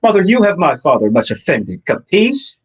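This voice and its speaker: An actor playing young prince Hamlet as a tough mobster who shouts his lines, on a theater stage. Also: mid-range voice, sing-song, drawn-out words, husky, full character voice. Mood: menacing. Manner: shouting, tags lines with "capiche". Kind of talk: comic stage acting.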